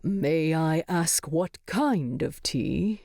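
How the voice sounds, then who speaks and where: A woman voicing a gruff, surly, proud male dwarf leader in a curt, formal manner for a storybook. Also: deep voice, lively intonation, very slow, darkish tone, dry recording, studio quality, heavily acted. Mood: gruff, restrained.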